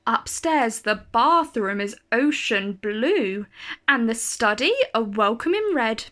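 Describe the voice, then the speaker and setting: Bright, animated woman reading a storybook. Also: on the high side, slow pace. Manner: animated, carefully phrased. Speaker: storyteller reading a children's picture book. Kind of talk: expressive read-aloud storytelling for children.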